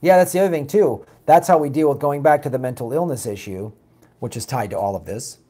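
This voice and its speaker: A raspy man hosting a political talk show, reading viewer comments and answering them bluntly in a casual, animated way. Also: mid-range voice, fairly quick. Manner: blunt, animated, mocks opponents with put-on quotes.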